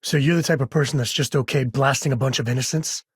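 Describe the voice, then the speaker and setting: A man playing a middle-aged space ranger in a video game, wry, folksy and good-hearted, with a husky, gravelly voice. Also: medium pitch, steady tone, fast, no room sound, studio quality. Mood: serious, firm. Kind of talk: video game voice acting, conversational.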